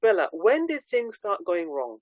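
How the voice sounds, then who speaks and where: A woman's voice: medium pitch, big pitch swings, moderate pace, darkish tone, no echo. Encouraging woman host, dry close sound. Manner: affirming, encouraging, a little preachy. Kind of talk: interview with preaching asides.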